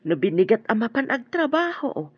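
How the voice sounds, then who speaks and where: A woman's voice: fairly high pitch, big pitch swings, normal speed, breathy edge, no echo, highs cut off. A Filipino woman playing a caring, protective older mother, tender yet admonishing, in a radio drama.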